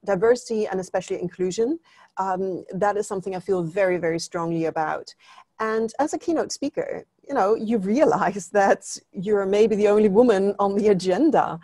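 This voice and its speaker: A woman's voice: medium pitch, moderate pace, mellow, no echo. A middle-aged woman from Denmark, a native Danish speaker, earnestly explaining her views as an interview guest on a video call. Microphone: earphone headset.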